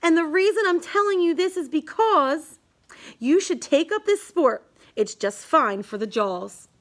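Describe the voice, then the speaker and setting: A woman's voice: high-pitched, lively intonation, a little slow. A woman reading a rhyming children's book aloud in an emphatic, sing-song storytelling manner.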